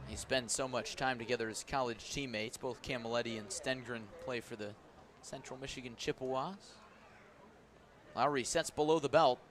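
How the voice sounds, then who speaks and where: A man doing live radio play-by-play of a baseball game, describing the action and stressing the key moments. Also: a bit bright. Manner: descriptive, lifts and stresses as each pitch comes. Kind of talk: live radio sports commentary. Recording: clear outdoor ambient noise behind voice. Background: outdoor ballpark ambience.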